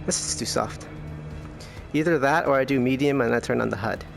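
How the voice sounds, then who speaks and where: A man giving low-key, casual commentary on his sim race, thinking aloud, his voice worn from losing it. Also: brisk, vocal fry. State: losing his voice, clearing throat. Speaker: sim racing streamer. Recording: music and car engines behind. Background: racing game music and engine sound.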